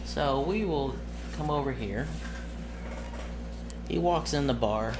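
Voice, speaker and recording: A man acts as game master, laying out a tabletop game scene in a casual, explanatory way. His delivery is halting and he often corrects himself. His voice sits at an average pitch, and there is clear noise over the recording. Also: animated.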